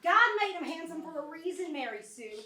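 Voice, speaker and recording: A woman's voice: extremely high pitch, animated, brisk, sharp tone, heavily acted. A woman playing a brash, lustful Southern country woman at a funeral, sassy and complaining, in a live amateur stage comedy. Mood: bawdy, sassy. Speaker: actor in a staged reading of a short play. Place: small college theatre stage. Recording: roomy distant theatre mic, background noise.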